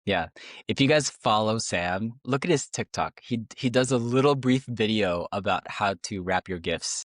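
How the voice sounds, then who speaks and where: Low-voiced guy born in the philippines, chatty podcast cohost, dry mic. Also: normal speed, no room sound. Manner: chatty, laughs easily. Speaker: podcast co-host. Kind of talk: casual chat.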